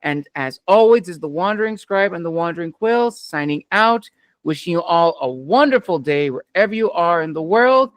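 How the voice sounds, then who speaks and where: Upbeat male host with smooth dry voice. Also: high voice, animated, moderate pace, a bit bright, no room sound. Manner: upbeat, formulaic announcer phrasing. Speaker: interview show host. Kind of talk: scripted show sign-off.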